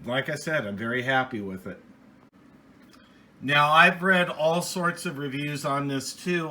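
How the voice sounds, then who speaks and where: Casting: American man for an unscripted hobby review, clear-edged bright tone, middling pitch, plain and rambling, some noise in a home office. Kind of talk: casual unscripted product review. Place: small indoor home office radio room.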